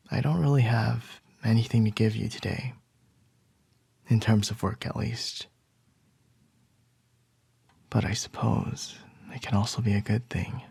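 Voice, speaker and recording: A man doing an ASMR roleplay as a boss, speaking in a slow, teasing, intimate whisper close to the microphone. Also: on the low side, gravelly, darkish tone, husky, no room sound.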